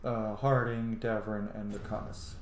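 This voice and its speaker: A man giving casual, excitable, sweary commentary as he plays a role-playing video game. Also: on the low side, unhurried. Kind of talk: casual let's play commentary. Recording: roomy echo, clearly noisy background.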